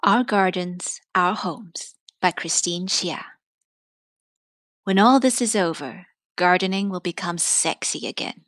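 A woman's voice: on the low side, slow, a bit bright, no echo. A woman presenting and reading literary pieces from a script. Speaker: presenter and reader. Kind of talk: scripted introduction and poetry reading.

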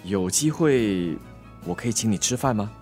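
A man's voice: on the low side, lively intonation, normal speed, a little mellow, faint music behind, natural voice. Actor as a shy, hesitant Singaporean man. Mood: nervous, hopeful. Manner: hesitant, stammering. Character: shy, awkward man hoping to reconnect with a woman. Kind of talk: naturalistic Mandarin radio drama acting.

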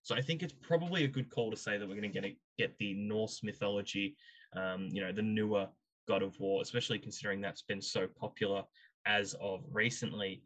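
A man hosting a panel discussion, giving opinions haltingly and asking emphatic rhetorical questions. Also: on the low side, steady tone, fairly bright tone, rough recording. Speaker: podcast host.

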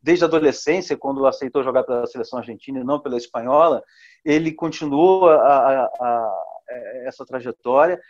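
A man discussing football in casual talk, thoughtful, often pausing on fillers. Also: mid-range voice, darkish tone, muffled recording. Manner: thoughtful, with hesitations. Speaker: football commentator. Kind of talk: podcast discussion.

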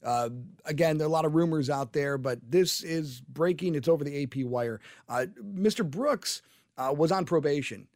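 A man's voice: fairly high pitch, darkish tone, no echo. A man hosting talk radio, speaking in an impassioned, rambling way with frequent restarts, into a studio microphone. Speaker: radio talk show host.

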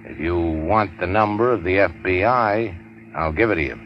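A deep-voiced, cynical tough guy of the rackets, clipped and dryly wry in the manner of 1940s radio crime drama. The sound is a muffled vintage broadcast with light noise. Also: moderate pace. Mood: curt, commanding. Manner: terse, wry, hard-boiled. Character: cool, cynical, tough-talking racketeer working for a crime boss. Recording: dull, noisy 1940s radio recording.

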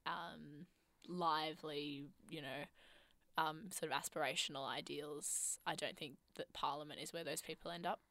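A woman's voice: low-pitched, fairly even intonation, normal speed, bright, forceful tone, no room sound. A young woman co-hosting a podcast in casual chat, thoughtful and hedging, with a lingering cough. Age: young adult. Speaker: podcast co-host.

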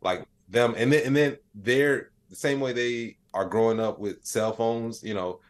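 A man's voice: medium pitch, measured pace. A man in his late thirties speaking casually and colloquially as an interview guest, explaining things in plain, conversational terms. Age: late 30s. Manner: colloquial, plain-spoken, acts out little imagined dialogues. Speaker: interview guest, AI educator and comedian.

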